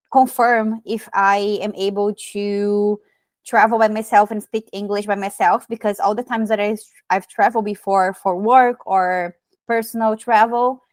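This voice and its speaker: A woman in her thirties from Brazil with a Brazilian Portuguese accent, a podcast guest telling her story in casual, animated chat. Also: mid-range voice, no echo. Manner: many fillers and restarts, animated storytelling.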